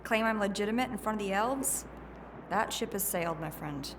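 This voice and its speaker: A woman's voice: mid-range voice, animated, normal speed, noisy background, close to an everyday voice. A woman playing a tough, defiant young thief queen, blunt, sweary and streetwise, in a fantasy audio drama. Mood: resolute. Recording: loud ship ambience behind voice.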